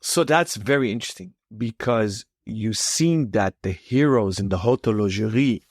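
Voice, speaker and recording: A man co-hosting a casual podcast chat, thinking aloud in a measured way with pauses. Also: fairly low pitch, darkish tone, no echo, clean studio sound. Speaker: podcast co-host.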